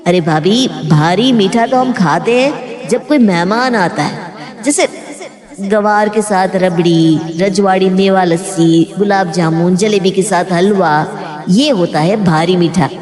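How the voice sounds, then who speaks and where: A woman's voice: mid-range voice, measured pace, darkish tone, faint music behind, close to an everyday voice. A woman voicing a casual, whiny young man with a sweet tooth in a family story drama. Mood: breezy, dismissive. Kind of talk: hammy family story dialogue. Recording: hall-like reverb.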